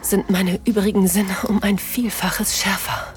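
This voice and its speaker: An actress playing a submissive, aroused woman, narrating intimately in a breathy, whispery voice in an erotic audio drama. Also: medium pitch, little pitch movement, close to an everyday voice. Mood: breathless, sensual. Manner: slow, sensual delivery. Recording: music behind the voice.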